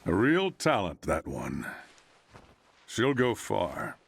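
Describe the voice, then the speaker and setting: An actor playing a boastful older martial arts teacher in a video game, pompous and theatrical in delivery. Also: male voice, fairly low pitch, huge pitch swings, slow, creaky, slight background noise, heavily acted. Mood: proud. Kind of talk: video game cutscene acting.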